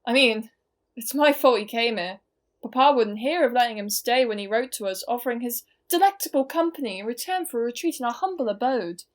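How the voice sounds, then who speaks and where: Overwrought young English girl in mourning, woman actor in a student audio drama; high, lively delivery. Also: fairly quick, close to an everyday voice. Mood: melodramatic. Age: young adult. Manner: melodramatic, overwrought. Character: melodramatic 18-year-old girl in mourning. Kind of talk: student radio play acting.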